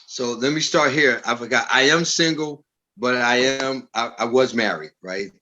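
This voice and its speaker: A man's voice: medium pitch, moderate pace, bright, forceful tone. A man in his late fifties talking casually as a co-host on a panel. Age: 50s.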